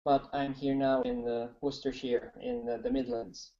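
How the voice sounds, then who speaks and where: A man's voice: mid-range voice, little pitch movement, normal speed, warm tone. A man from the Philippines with a Filipino accent, a polite, hesitant student chatting in an online class over a video call. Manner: polite, hesitant with many uhs. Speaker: language student. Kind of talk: casual chat in class. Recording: dull lo-fi video call audio.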